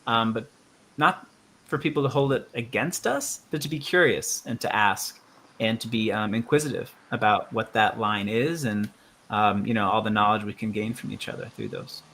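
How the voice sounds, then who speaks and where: A man hosting a reflective conversation in a bright, forward voice. He speaks earnestly and thoughtfully, working through his ideas aloud with many ums and you-knows. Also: fairly low pitch, normal speed. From United States. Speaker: musician and show host.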